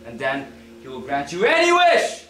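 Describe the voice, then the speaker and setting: A man's voice: on the high side, wildly swooping pitch, normal speed, bright, forceful tone, heavily acted. A young man playing a pompous herald of a divine astronomer, declaiming theatrically on stage. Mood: solemn, commanding. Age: young adult. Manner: pompous, proclaiming. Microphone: far camera. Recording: roomy stage, distant camera, background noise.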